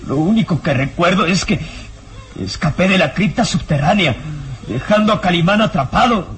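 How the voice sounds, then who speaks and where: An actor playing a tormented, frightened man under a villain's sway, anguished and halting, in a melodramatic radio drama. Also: sits high, lively intonation, background noise. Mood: dazed, anguished.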